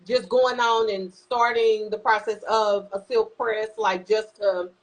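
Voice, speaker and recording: A woman's voice: medium pitch, fairly even intonation, measured pace, low-quality recording. Chatty woman podcast host in her forties. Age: 40s. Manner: chatty, questioning, easygoing. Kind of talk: casual podcast chat.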